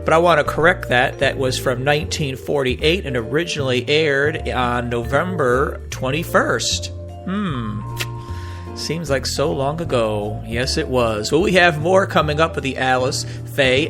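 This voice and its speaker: A man's voice: mid-range voice, a little slow. A man hosting a radio show, giving casual, chatty, folksy introductions. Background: music bed.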